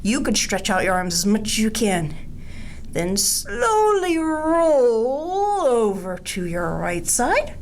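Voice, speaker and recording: A woman with a fairly high voice reads and instructs for young children at bedtime. Her delivery is playful and sing-song, with very lively rises and falls. She is recorded indoors from a little way off, with some noise in the background. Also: takes it slowly. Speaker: children's storytime host leading bedtime yoga. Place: indoors, small draped space, lying on the floor.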